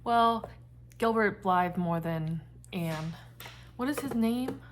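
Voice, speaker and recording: A woman's voice: mid-range voice, lively intonation, slow pace, noisy background. A woman in her thirties chatting casually in a book vlog, meandering and trailing off as she talks. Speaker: book vlogger. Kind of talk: casual chat.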